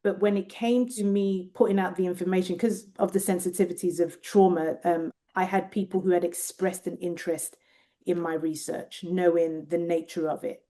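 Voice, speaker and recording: A British Nigerian woman with a British accent, a researcher talking thoughtfully in an interview and often pausing to find her words. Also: fairly low pitch, little pitch movement, mellow. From Nigeria and Britain. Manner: thoughtful, searching for words, many restarts. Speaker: guest researcher. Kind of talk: reflective interview conversation.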